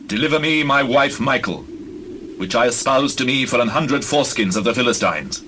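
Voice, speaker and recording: A man playing a stern, commanding ancient king with solemn, measured archaic pronouncements in a dramatized scripture reading. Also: mid-range voice, animated, pushed and bright, slight background noise.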